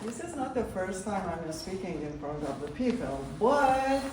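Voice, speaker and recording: A woman's voice: medium pitch, very animated. A woman in her forties from Iran, telling a personal story in careful non-native English with an Iranian accent to a live audience. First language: Persian. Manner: slow, halting non-native English with laughs. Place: live event hall. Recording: echoey room, mic from far off, faint noise.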